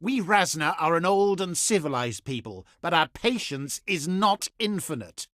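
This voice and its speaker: Game role: a pompous, pious ancient envoy, higher male register, very expressive intonation, dry studio sound. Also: no room sound. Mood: dismissive. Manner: formal, pompous, measured oratory. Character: haughty, cultured Etruscan diplomat, pious, omen-reading, condescending man. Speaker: diplomat envoy in a strategy game. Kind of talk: theatrical video game voice lines.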